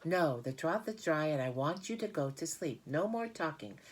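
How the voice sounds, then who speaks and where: Woman as a calm, firm motherly spider. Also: deep voice, normal speed, pitched above the performer's own voice. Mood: gently firm. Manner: firm, reassuring, instructing.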